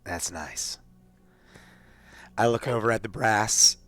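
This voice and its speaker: A man's voice: lowish voice, slow, a bit bright, faint music behind. A man playing in a tabletop roleplaying game, talking casually and improvising.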